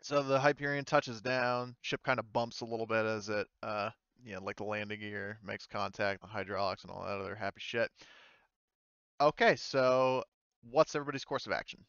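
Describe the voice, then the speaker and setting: A man narrating a tabletop game casually as game master, halting and informal in his delivery. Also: on the low side, steady tone, fairly bright tone, clear, resonant tone, no room sound, dull audio. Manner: halting, informal, mild swearing.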